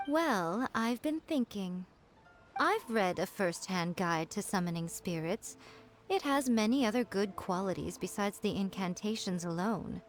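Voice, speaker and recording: A woman's voice: mid-range voice, expressive, measured pace, faint music behind, lightly acted. A woman voicing a sly, self-assured fox-spirit shrine maiden in an anime game, poised, teasing and commanding. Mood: measured, thoughtful.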